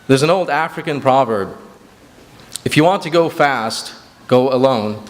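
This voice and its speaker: Man, grew up in Sri Lanka, earnest speech at a community banquet, mid-pitched, long hall reverb. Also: animated, a little slow. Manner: earnest, with frequent fillers and restarts. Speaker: executive director.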